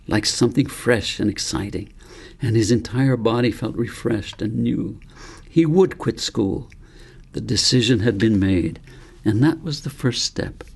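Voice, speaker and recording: A man narrates a storybook in a low register with a lot of gravel in it. His voice is husky and rough at the edges, and his delivery is measured and deliberate, as an author reading his own novel aloud. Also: a little mellow.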